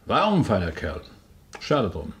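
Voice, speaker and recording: Deep-voiced, rambling rustic farm manager. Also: male voice, wildly swooping pitch, moderate pace, fairly bright tone. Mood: chatty. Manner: rambling, folksy, often quotes his wife. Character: chatty rustic farm manager, awkward older man. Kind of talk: German radio crime drama acting.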